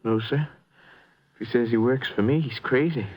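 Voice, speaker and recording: An actor playing a plainspoken, folksy rancher in a vintage radio drama. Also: male voice, mid-range voice, moderate pace, darkish tone, close to an everyday voice. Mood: plain, dismissive. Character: injured male rancher, plainspoken and dismissive. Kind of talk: 1950s radio acting. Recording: dull vintage radio recording.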